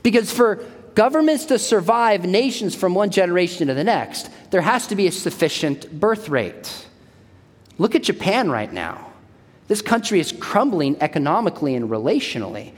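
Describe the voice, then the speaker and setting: Man in his forties, fairly high voice, lively pitch, emphatic repetition, long hall echo. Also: moderate pace. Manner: emphatic, repeats key points. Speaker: speaker at a church. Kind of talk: sermon-style talk.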